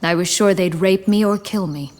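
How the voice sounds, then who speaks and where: An actress playing a proud, bitter banished noblewoman turned bandit leader, wry and measured, in a fantasy game. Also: mid-range voice, a little mellow, natural voice. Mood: bitter, reflective. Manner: wry, measured, dramatic storytelling. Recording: faint ambient noise behind.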